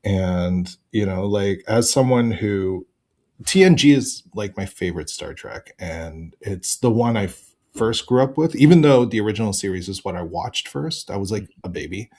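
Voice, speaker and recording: Low-pitched man in casual fan chat. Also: animated, normal speed, darkish tone. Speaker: podcast guest.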